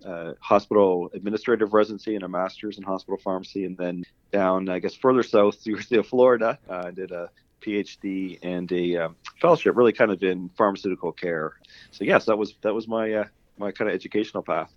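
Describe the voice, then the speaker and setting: A man's voice: low voice, fairly even intonation, quick pace, dry recording. A middle-aged man with a Canadian accent answering interview questions conversationally, rambling with plenty of "you know" and "kind of". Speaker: guest, university pharmacy dean. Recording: dull, lo-fi voice track.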